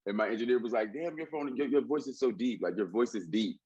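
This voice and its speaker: A man with a deep, husky voice, a rapper guest telling a rambling story with many restarts in a casual interview. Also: fast talker, mellow, no room sound. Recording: dull dry lo-fi sound.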